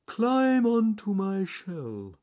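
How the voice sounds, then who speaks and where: A man voicing a slow, careful, plodding male tortoise in a children's storybook, speaking deliberately. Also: high-pitched, wildly swooping pitch, a little mellow, pitched above the performer's own voice, full character voice. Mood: careful, pondering.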